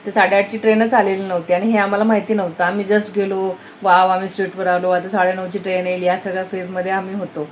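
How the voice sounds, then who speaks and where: A woman's voice: medium pitch, little pitch movement, fast, hardly any pauses. Woman vlogging over background noise. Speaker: travel vlogger. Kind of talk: casual vlog chat.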